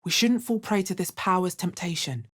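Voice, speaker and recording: An actress playing a level-headed, wary and resolute adventurer hero in a fantasy video game, speaking in a measured, serious, understated way. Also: female voice, lively intonation, fairly bright tone. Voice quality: husky, bass-heavy voice.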